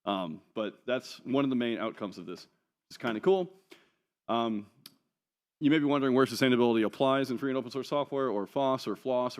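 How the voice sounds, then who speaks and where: A man giving a conference talk from slides, chatty and wry, with plenty of ums and offhand jokes. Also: on the low side. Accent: none New Zealand. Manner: casual, chatty, wry asides with many ums. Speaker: conference speaker. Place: conference hall.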